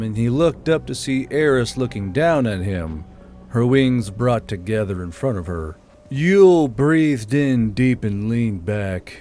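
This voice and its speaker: A man's voice: mid-range voice, a little mellow. A man reading scripted fiction as an audiobook narrator, with some background noise behind him.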